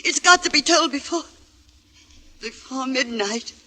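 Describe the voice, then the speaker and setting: A woman's voice: sits high, expressive, measured pace, background noise. An actress playing a frightened, downtrodden mother who pleads and stammers in a 1940s radio drama. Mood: nervous.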